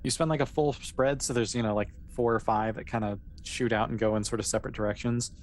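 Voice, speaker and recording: A game master narrates a tabletop role-playing session at a rapid pace. The delivery is informal and improvised, speaking straight to the player. Some background noise sits behind the voice. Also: medium pitch, steady tone.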